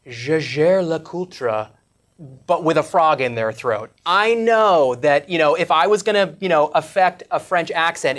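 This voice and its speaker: A man co-hosting a podcast, chatting casually and joking, quick to laugh. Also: on the high side, fairly bright tone. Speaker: podcast co-host. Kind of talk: casual chat.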